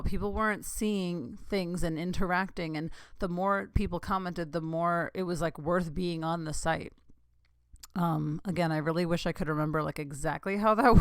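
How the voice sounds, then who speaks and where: A woman's voice: fairly low pitch, no room sound, studio quality. A woman in her thirties hosting her own podcast, chatting in a rambling, giggly way with lots of asides. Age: 30s. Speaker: podcast host. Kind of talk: casual solo podcast chat.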